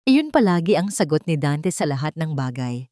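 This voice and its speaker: A female storyteller delivers scripted, dramatic narration. Her voice is slightly low, and the sound is dry with very little room in it. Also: big pitch swings, normal speed, dark tone, no echo.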